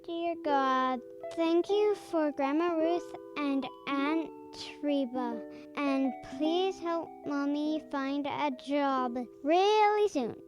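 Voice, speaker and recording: A performer playing a sweet, innocent little girl in a holiday radio drama, speaking earnestly and artlessly. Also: high-pitched. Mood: earnest praying. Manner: earnest, artless, halting childlike delivery. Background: music.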